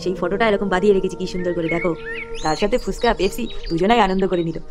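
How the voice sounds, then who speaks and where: A woman voicing a boastful, crude male gang boss villain in a homemade cartoon, with loud background music behind her. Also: fairly low pitch, rapid-fire, natural voice. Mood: sneering. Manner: gloating, mocking, crude.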